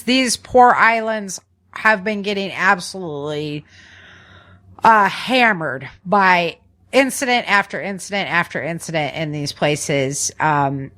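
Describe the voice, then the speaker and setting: A woman hosts a casual podcast at an average pitch. She talks conversationally, as if chatting with listeners, and leans on repeated words for emphasis while she runs through the news. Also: fairly bright tone. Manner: informal, conversational, emphatic repetition. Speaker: podcast host.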